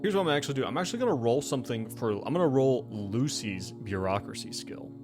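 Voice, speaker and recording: A man's voice: medium pitch, animated, fast. A man chatting informally as the game master, casually narrating a tabletop game. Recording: background music under the voice.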